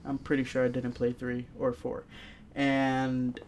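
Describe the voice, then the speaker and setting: A man talks casually as a podcast co-host reviewing something. He pieces his thoughts together haltingly, with a faint layer of noise behind him. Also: mid-range voice, completely flat delivery, a little mellow.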